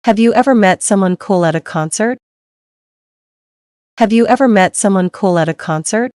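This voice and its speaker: A woman reading English dialogue lines slowly and clearly for learners, repeating each line. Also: medium pitch, a little mellow, dry recording, studio quality. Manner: slow, clear, each line read twice.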